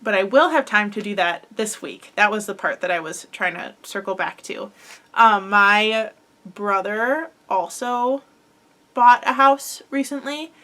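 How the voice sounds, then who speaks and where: A young woman chats casually about her crochet projects with a slightly stuffy, head-cold sound. She rambles and fills pauses with "um" and "like". Her pitch sits mid-range for a woman. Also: bright, forceful tone. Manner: chatty, rambling, filler-heavy with frequent likes and ums. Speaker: crochet podcast host. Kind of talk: casual chat.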